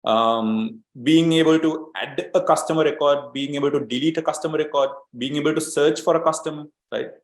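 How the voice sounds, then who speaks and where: A man's voice: on the high side, brisk, hardly any pauses, echoey room. A man from Sri Lanka presenting an online training webinar as an agile coach, explaining steadily with many fillers and repeated words.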